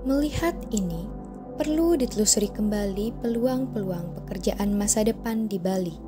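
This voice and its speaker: A woman reading scripted documentary narration in a measured, formal manner. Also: medium pitch, animated, music in the background.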